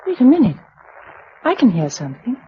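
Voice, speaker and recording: A woman acting in a British radio drama as a spirited, wry young novelist surviving a catastrophe, with a light, expressive manner and a British accent. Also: on the high side, fairly quick, slight background noise. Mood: anxious, sombre. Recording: dull lo-fi radio recording.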